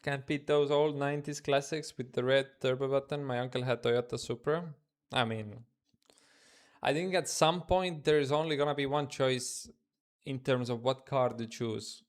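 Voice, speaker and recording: A man's voice: medium pitch, steady tone, moderate pace. A man with an Estonian accent, a native Estonian speaker, chatting casually in loose English on a live trading stream from his room, thinking aloud and answering chat. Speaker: day trader streaming live.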